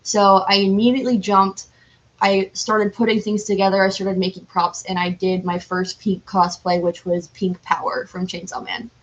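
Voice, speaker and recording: Female podcast guest, mid-pitched voice, chatty and candid, informal, unscripted delivery. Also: flat delivery, normal speed, rough recording. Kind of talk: casual interview chat.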